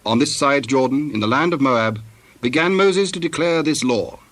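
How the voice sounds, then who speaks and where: A man narrating scripture from a script in a formal, measured manner. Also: mid-range voice, fairly bright tone.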